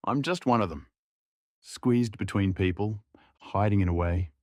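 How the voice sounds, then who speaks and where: A man's voice: fairly low pitch, a little slow, darkish tone, no room sound, clean studio sound. Subdued young man narrating inwardly. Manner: subdued, reflective inner monologue. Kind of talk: scripted audio drama narration.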